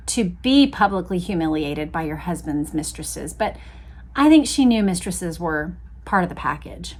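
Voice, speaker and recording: Female American readalong host, mid-pitched, reads history aloud with informal, conversational asides. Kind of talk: reading a history book aloud with casual commentary.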